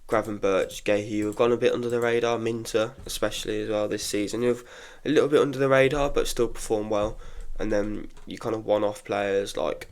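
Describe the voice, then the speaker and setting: A man's voice: lowish voice, fairly even intonation, brisk, hardly any pauses. A congested young man giving frank, chatty opinions in a casual solo football review, full of restarts. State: congested from illness. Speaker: football podcaster.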